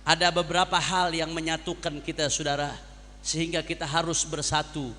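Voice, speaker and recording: A man's voice: fairly high pitch, level tone, a little fast, bright, forceful tone, long reverb tail. A man preaching a sermon to a congregation as an impassioned orator, using repetition and rhetorical questions. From Jakarta, Indonesia. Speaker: Islamic preacher.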